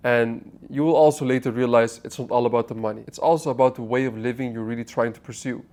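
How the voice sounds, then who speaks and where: A man's voice: medium pitch, fairly even intonation, quick pace, a little mellow. Brief: man, twenties, raised in the Netherlands, talks to camera in a casual motivational monologue, blunt, curses, restarts phrases. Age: 20s. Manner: blunt, swearing, restarts mid-sentence, addresses viewer directly. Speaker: online business vlogger. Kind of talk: unscripted motivational talk to camera. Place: apartment.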